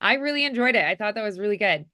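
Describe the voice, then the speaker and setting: Woman, acting coach, bright forceful tone, smooth clear voice, casual, animated, encouraging. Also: medium pitch, very fast. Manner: animated, encouraging, acts out examples.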